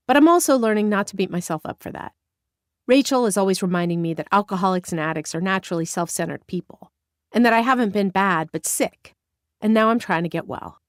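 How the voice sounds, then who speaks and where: Woman reading her own memoir, candid, pitch swinging around a lot, dry close mic. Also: dry recording. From Northern California. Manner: expressive, candid first-person storytelling. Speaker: author narrating her memoir. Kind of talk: audiobook memoir narration.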